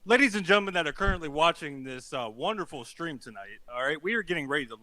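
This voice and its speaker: A man casting an esports match with a bright, forceful voice pitched a little high. He talks to the viewers in a laid-back way, and the sound is dry and close. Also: expressive, quick pace, no room sound, poor audio. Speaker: esports caster. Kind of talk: casual esports commentary.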